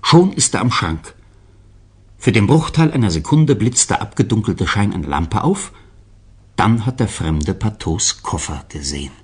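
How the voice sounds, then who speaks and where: A male narrator reads a scripted radio drama. His voice is very low and husky, and his intonation rises and falls in a lively way as he sets each scene. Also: a little slow.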